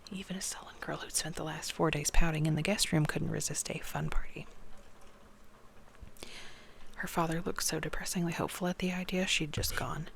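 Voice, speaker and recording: A reader whispering a novel aloud in ASMR style, soft-spoken and given to chatty asides. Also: medium pitch, fairly even intonation, fast talker, vocal fry, few pauses.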